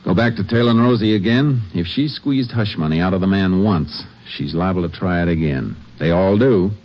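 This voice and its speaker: An actor playing a stern, shrewd Texas Ranger with an American accent, dry and matter-of-fact, in an old-time radio drama. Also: male voice, sits low, moderate pace. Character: stern, shrewd male Texas Ranger narrating his case. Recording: muffled vintage radio recording, music behind.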